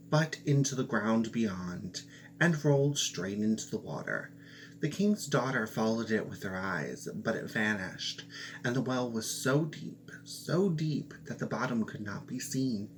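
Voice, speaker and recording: Man, storyteller, fairy tale read aloud, very slow and deliberate, faint hum behind. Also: lowish voice, poor audio. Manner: slow, expressive storytelling with playful asides.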